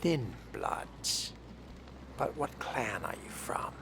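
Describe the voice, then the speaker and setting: A man's voice: medium pitch, very animated, slow, noisy background. A man voicing a wry, curious new vampire fledgling in role-play game dialogue, dry and questioning.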